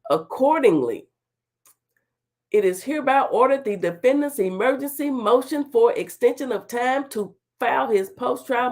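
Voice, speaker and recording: A middle-aged woman with a stuffy cold, hosting her own talk show and reading court papers aloud, breaking off for sassy, chatty asides. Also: medium pitch. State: stuffy, under the weather. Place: home studio with virtual background.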